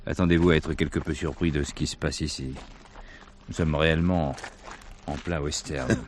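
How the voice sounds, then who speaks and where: Seasoned male commissaire: low, measured, authoritative, wry; French crime drama with a noisy old soundtrack. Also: fairly even intonation. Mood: grave, guarded.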